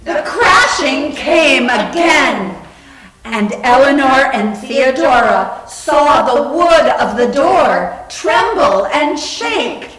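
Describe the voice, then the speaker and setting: A woman's voice: sits high, expressive, slow, a bit bright. A woman performing dramatic scripted narration for a readers theater. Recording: echoey room.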